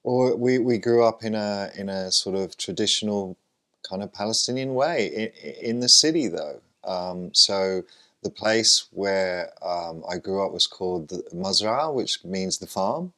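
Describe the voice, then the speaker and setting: Deep voiced guy born in lebanon, lots of ums and restarts, chatting as a guest. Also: lively intonation, a little slow, bright, forceful tone. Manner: hesitant, many fillers and restarts. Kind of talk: conversational interview.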